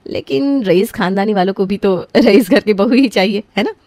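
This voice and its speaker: A woman's voice: medium pitch, moderate pace, a little mellow, no echo. A woman reading a scripted story as its narrator.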